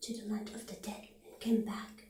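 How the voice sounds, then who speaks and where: A woman speaking softly and breathily, often near a whisper, gently playing a friendly witch shopkeeper in an ASMR roleplay. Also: medium pitch, flat delivery. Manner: gentle, unhurried, halting. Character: friendly witch shopkeeper showing potion ingredients. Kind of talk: soft-spoken ASMR roleplay. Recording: roomy echo, faint background noise.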